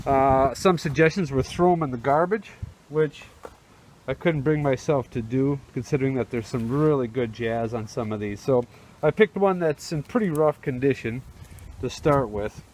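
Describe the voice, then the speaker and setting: A man with a fairly high-pitched voice talks casually through a face mask, walking the listener through a hands-on job. He keeps it informal and step by step. The recording is outdoors, with plainly audible noise around the voice. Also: moderate pace, darkish tone. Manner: informal, easygoing step-by-step talk. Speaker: host demonstrating a how-to restoration. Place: outdoors on a windy rooftop. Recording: noisy outdoor camera audio.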